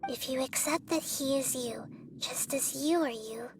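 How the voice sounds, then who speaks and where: High-voiced, gentle young goddess. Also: female voice, background noise. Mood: solemn. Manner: measured, philosophical. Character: small, gentle, wise young female deity. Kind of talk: video game voice acting.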